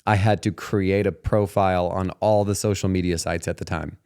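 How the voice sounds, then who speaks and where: Casting: male podcast host, thirties, lowish voice, casual and slangy, easygoing chat delivery. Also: steady tone, normal speed, a little mellow, clear, resonant tone. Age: 30s.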